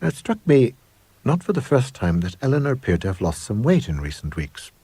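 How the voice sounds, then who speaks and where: A man with a husky voice narrating a ghost story in a measured, expressive, old-fashioned gentlemanly manner. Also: mid-range voice, no room sound. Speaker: reader of an audio ghost story. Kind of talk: dramatic first-person scripted narration.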